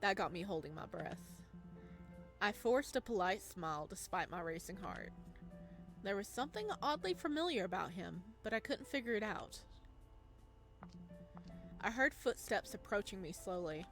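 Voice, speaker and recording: A weary, anxious young man read by a woman narrates a visual novel over background music. He is introspective and hesitant, full of self-doubt, in a mid-pitched female voice. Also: lively intonation, moderate pace, long pauses, close to an everyday voice. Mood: awed, nervous.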